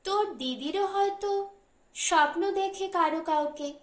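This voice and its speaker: A woman's voice: extremely high pitch, unhurried, roomy sound, voice pushed up. A woman narrator voicing a wistful, wry, philosophical man, the brother-in-law in an audiobook story. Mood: musing. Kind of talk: audiobook dialogue.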